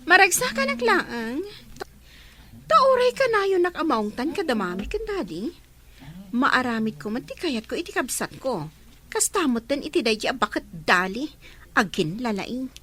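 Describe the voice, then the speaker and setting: A woman's voice: high voice, big pitch swings, moderate pace, fairly bright tone. A woman playing a spoiled, demanding, sneering young woman who bullies her household in an Ilocano radio drama. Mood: smug. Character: spoiled, bossy young woman bullying sibling and maid.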